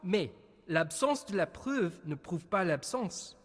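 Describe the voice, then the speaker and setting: An actor playing a timid, whiny young French student with a French accent in a video game. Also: male voice, high voice, big pitch swings, measured pace, heavily acted. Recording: long echoing reverb.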